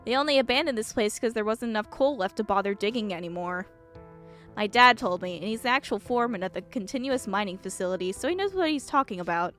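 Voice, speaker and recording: A woman's voice: mid-range voice, lively intonation, fast, a bit bright, faint music behind, natural voice. Woman putting on a snippy know-it-all teen girl. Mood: snippy, boastful. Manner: snippy, boastful.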